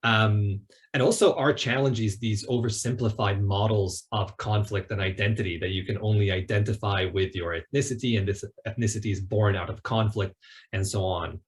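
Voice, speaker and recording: A man's voice: lowish voice, fairly even intonation, normal speed. A man giving a semi-prepared, discursive academic talk as a panelist at an online event. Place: online video call.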